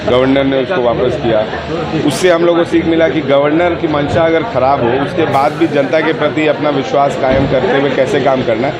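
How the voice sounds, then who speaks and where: A man's voice: medium pitch, steady tone, a little fast. A male politician answering questions from the press outdoors. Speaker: politician, legislator. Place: outdoors outside a legislature building. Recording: loud crowd and traffic outdoors.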